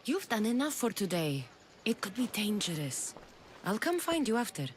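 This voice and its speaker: A woman's voice: mid-range voice, big pitch swings, normal speed, a little hiss or hum, natural voice. A woman playing a tough, protective young mercenary in a video game, dry and defiant. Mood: firm, dry.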